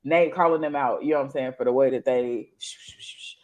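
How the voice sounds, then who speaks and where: A man co-hosting a casual political chat show, talking in animated, slangy bursts that rise to loud exclamations. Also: medium pitch, fast talker. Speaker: podcast co-host.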